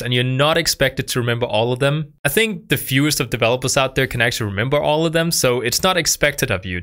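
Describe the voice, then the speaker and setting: Young male tutor in his twenties, bright tone, patient beginner-friendly explainer, close studio mic. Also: medium pitch. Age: 20s. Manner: patient, repeats himself for beginners. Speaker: programming tutor. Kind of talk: explaining code to camera. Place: dark studio, indoors. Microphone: studio mic on boom arm.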